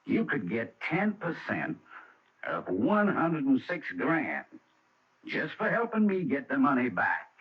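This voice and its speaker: Smug insurance snoop in an old radio play, slangy tough talk, very up and down, dull sound. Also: male voice, mid-range voice, huge pitch swings, measured pace. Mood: insinuating. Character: smug, dogged male insurance detective. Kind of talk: radio drama acting. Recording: muffled old radio recording.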